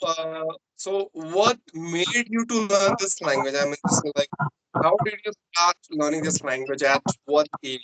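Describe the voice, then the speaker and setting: A man's voice: a little high, a bit bright, low-quality recording. A man with an Indian English accent, coaching English in an earnest, explanatory way on a video call. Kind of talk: online conversation practice.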